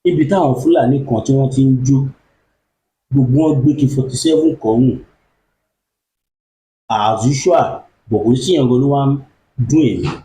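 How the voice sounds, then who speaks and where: A man hosting an online commentary show, animated and exclamatory, switching between Yoruba and English. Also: mid-range voice, moderate pace, long pauses, roomy sound, low-quality recording. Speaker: host.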